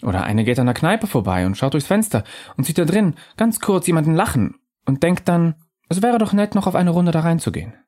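An elusive female bar ghost, voiced by a male reader, speaking high and soft with earnest insistence, as in a storybook reading. Her tone stays calm and wistful, yet it keeps pressing the listener. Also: brisk.

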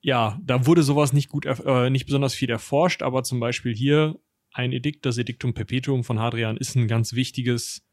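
A man co-hosting a relaxed history talk. He speaks colloquially, dropping slang and jokey asides into his explanations. The sound is dry, with a mid-pitched voice. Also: normal speed, dry recording. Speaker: history podcast co-host. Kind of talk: casual conversational history discussion.

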